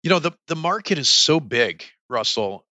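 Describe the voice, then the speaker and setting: A Canadian man in his fifties speaks as a CEO guest on a business podcast. His tone is bright and forward, and he sounds upbeat and earnest, often restarting a phrase. The recording is dry and close to the mic. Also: fairly high pitch, sing-song, moderate pace, no room sound. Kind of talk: podcast interview.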